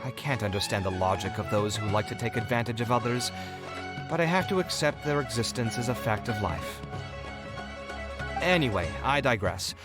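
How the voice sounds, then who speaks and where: An actor playing a principled, scholarly young architect in a video game, articulate and reflective in an emotive way. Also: male voice, medium pitch, expressive, normal speed, over loud music, lightly acted. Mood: sighing, philosophical. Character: principled young male architect, scholarly, expressive, too trusting.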